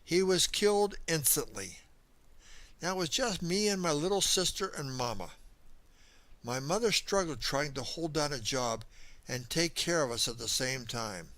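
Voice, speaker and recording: A man's voice: a little high, expressive, normal speed, a bit bright, no echo. A man narrating a scripted first-person story in a folksy, dramatic storytelling manner. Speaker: audiobook narrator.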